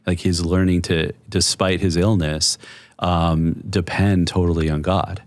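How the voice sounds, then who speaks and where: Middle-aged male therapist guest, very low bass voice, calm and measured, dry close mic. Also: dry recording. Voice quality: deep bass voice. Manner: soothing, measured, explanatory. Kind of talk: conversational interview.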